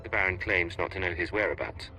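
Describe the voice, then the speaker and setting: Casting: undercover game agent, cool and courteous, fast, very crisp-edged, lowish voice. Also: male voice, bright, forceful tone, slight background noise, full character voice, low-quality recording. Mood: cool, polite. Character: cool male undercover agent posing as mercenary. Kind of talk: video game voice acting.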